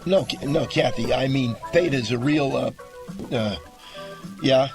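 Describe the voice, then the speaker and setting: A man's voice: mid-range voice, unhurried, music in the background, natural voice. A man playing an earnest, dim, easily confused guy who stammers and hesitates in scripted comedy dialogue. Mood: flustered.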